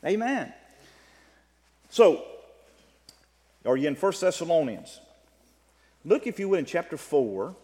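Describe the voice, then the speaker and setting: A man preaching a sermon, teaching from the Bible in an emphatic way that swings between loud and soft. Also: fairly high pitch, big pitch swings, takes it slowly, long pauses. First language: English. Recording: long reverb of a hall.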